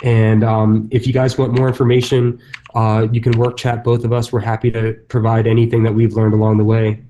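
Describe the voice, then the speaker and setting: A man's voice: fairly low pitch, completely flat delivery, a little fast, mellow, slight background noise, poor audio. A man who works as a real estate agent, speaking casually at a conference session, loose with fillers and restarts. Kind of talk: conference stage talk.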